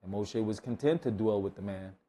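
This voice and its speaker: Mellow man reading aloud. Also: fairly low pitch, fairly quick. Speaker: scripture reader at a Bible study. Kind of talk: reading scripture aloud, brief comments.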